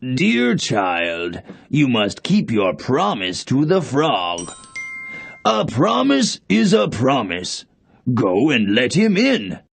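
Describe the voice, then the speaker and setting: A man playing a stern, principled fatherly king in a storybook, speaking in a measured, admonishing way. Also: mid-range voice, wildly swooping pitch, full character voice. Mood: firm. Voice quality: gravelly, husky. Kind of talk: storybook voice acting.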